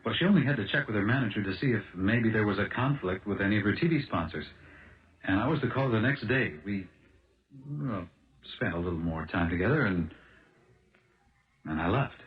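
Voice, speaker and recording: A man acting in a 1970s radio drama as an impulsive, fast-talking American car salesman, earnest and halting as he protests his innocence. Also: fairly low pitch, animated, close to an everyday voice. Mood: regretful, pleading. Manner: halting, earnest, restarts phrases. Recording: dull lo-fi old radio broadcast.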